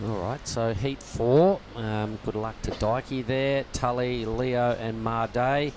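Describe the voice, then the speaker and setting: A man's voice: mid-range voice, steady tone, takes it slowly. Upbeat guy calling surf heats, mid voice, says mate, a bit of outdoor noise. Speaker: lead commentator. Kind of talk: live surf commentary.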